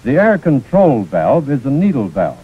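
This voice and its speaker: A man's voice: medium pitch, huge pitch swings, moderate pace, breathy edge. Muffled 1940s American training-film man. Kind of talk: 1940s instructional film narration, scripted.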